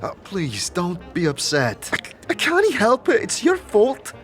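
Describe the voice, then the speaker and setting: Young thief with a tail, audio drama. Teasing, touchy, colloquial, hugely animated pitch. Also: female voice, a little slow, soft background music. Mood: flustered, defensive. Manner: colloquial, teasing, repeats tags like "I do", "it is". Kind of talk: audio drama character acting.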